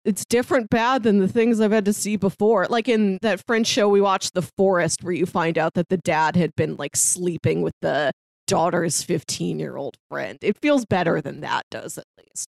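A woman's voice: fairly low pitch, moderate pace, vocal fry, no room sound. A woman co-hosting a podcast, discussing film casually and conversationally, often peppering her sentences with "like". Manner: conversational, frequently says like. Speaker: podcast co-host.

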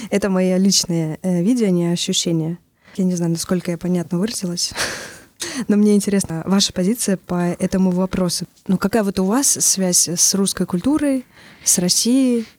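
A woman's voice: lowish voice, normal speed, darkish tone, no room sound. A woman from Estonia hosting a podcast, speaking earnestly and a little hesitantly, asking open questions. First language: Russian. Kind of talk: podcast conversation.

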